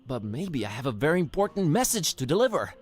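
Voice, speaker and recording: A sardonic out-of-town hero in a cartoon adventure game. He talks offhandedly in a middling pitch with big expressive swings, with light music underneath. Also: fast. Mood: wry observing. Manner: wry, offhand. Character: wry, casual, sarcastic out-of-town adult male sleuth hero. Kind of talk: animated adventure game acting.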